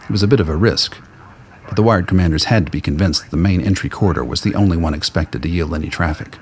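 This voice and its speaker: Male narrator for radio drama, very low register, scripted storytelling, faint noise behind. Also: quick pace.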